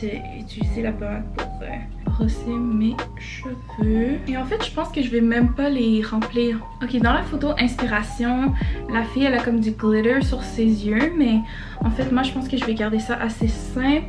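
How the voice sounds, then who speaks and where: Young woman, Québécois accent, bit high voice, chatty with lots of hesitations, music playing behind. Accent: Québécois French. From Quebec, Canada. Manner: chatty, frequent hesitations, mixes in English words. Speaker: beauty vlogger. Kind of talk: casual makeup tutorial chat. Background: background music.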